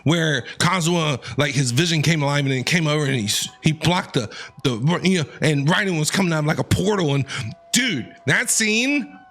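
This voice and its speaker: A man reacts casually to a game as an excitable streamer. His voice is bright and very animated, full of slang, over faint game music. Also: on the high side, normal speed. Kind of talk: casual gameplay reaction commentary.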